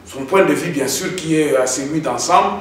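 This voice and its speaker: A man hosting a political interview in a halting, wordy conversational way. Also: fairly high pitch. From Democratic Republic of the Congo. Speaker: host. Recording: echoey, distant mic, faint noise.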